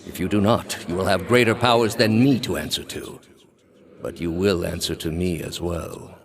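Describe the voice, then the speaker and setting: A very low male voice for a video game high priest. He leads a religious order with solemn zeal, choosing his words formally and with measured care. Quiet menace sits under every sentence. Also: a little hiss or hum. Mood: quietly threatening. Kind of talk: dramatic video game character dialogue.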